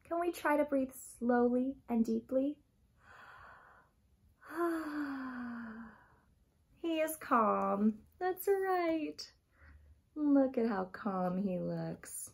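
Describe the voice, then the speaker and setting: A woman in her thirties reading a picture book aloud to young children in an expressive, dramatic way, stressing key words and addressing her listeners directly. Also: sits high, husky. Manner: dramatic gasps and emphatic, drawn-out key words. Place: small furnished room at home, indoors.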